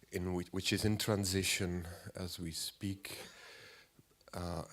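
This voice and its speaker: A man in his forties with a cold, giving a halting lecture as an artist, clearing his throat often and filling pauses. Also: sits low, a bit bright. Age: 40s. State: has a cold.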